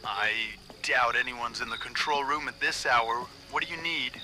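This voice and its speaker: A man's voice: mid-range voice, moderate pace, bright, forceful tone, a little hiss or hum. A man acting as a reluctant park colleague roused at night, answering hesitantly in a found-footage horror drama. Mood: reluctant, puzzled.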